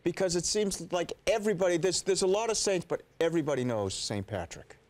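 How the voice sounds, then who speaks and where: A man's voice: sits high, expressive, brisk, darkish tone. A middle-aged man co-hosting a studio talk show, asking conversational interview questions. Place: TV studio, indoors.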